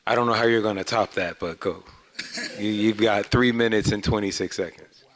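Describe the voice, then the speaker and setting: A man's voice: lowish voice, steady tone, a bit bright, a little hiss or hum. Man chairing a council hearing. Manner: brisk procedural. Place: council chamber.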